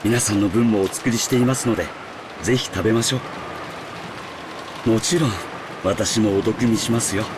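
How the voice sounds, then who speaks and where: Casting: male actor as genial, proud servant chef, polite, brisk delivery, game dialogue with rain behind. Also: on the low side, noisy background, natural voice. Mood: proud, reassuring. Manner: polite, self-assured. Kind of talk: Japanese visual novel voice acting.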